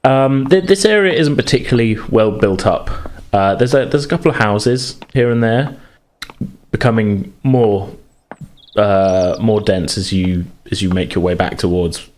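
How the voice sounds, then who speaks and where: A man narrating a tabletop game as game master, conversational and improvising haltingly as he goes. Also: lowish voice, slight background noise.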